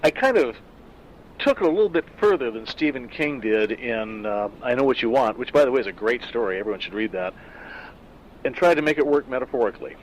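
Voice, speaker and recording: A man's voice: mid-range voice, lively intonation, brisk, slight background noise, low-quality recording. A middle-aged man talking casually and thoughtfully about a story he wrote, with frequent fillers. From Southern California. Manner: reflective, conversational, loose with fillers. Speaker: author discussing his own story. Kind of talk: casual spoken afterword.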